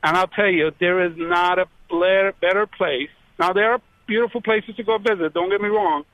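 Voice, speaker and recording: A male caller from Iran with a fairly high voice, pouring out thanks in an excited, effusive rush. The sound is dry and close. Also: moderate pace, no room sound, dull audio. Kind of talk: call-in radio conversation.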